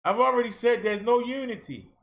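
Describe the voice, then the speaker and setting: A man vlogging a heated rant in casual colloquial speech, firing off rhetorical questions and at times shouting. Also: very high voice, fairly quick, creaky. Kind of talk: ranting social commentary.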